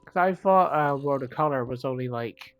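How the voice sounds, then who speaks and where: A man with a croaky, sniffly voice from a cold talks through his gameplay in a loose, rambling way. His pitch sits in an ordinary middle range. He keeps drifting into self-mocking side remarks, and soft music plays faintly underneath. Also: normal speed. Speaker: gaming channel host. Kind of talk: casual gameplay commentary.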